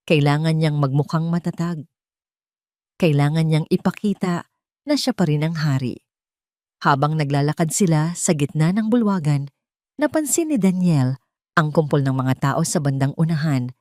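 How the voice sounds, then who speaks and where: A woman narrator with a low-pitched voice tells a scripted love drama. Her delivery is that of dramatic storytelling. The recording is dry and close. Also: warm tone, dry recording.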